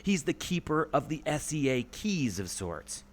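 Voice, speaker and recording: A man acting an animated, eager adventurer. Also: a little high, unhurried. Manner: expressive, theatrical. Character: eager, clue-spotting male adventurer in a radio play. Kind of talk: scripted audio adventure acting.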